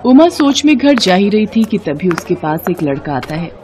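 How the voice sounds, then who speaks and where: A woman's voice: mid-range voice, very animated, moderate pace, a little mellow, some chatter behind. A woman narrator reading a scripted moral bedtime story for children.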